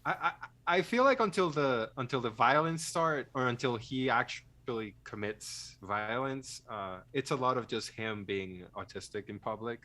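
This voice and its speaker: Man, podcast host, medium pitch, casual film chat, joking, self-deprecating, rambling. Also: normal speed, hardly any pauses, sharp tone.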